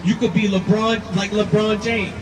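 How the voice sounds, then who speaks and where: A man preaching outdoors, forceful and emphatic, repeating his points. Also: high voice, little pitch movement, moderate pace, over music. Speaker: street preacher. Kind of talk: street preaching. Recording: distant mic with loud street noise.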